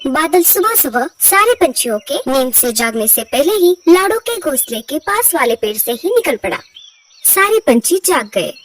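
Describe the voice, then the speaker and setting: A woman's voice: high voice, big pitch swings, normal speed, far from the mic, slight background noise. A woman reading scripted narration for a children's cartoon story.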